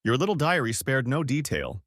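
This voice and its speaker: A betrayed husband as a male narrator plays him speaks with cutting, bitter anger. His accusations are pitched up and animated. The story reading is recorded very dry. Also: normal speed, no echo. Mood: icy.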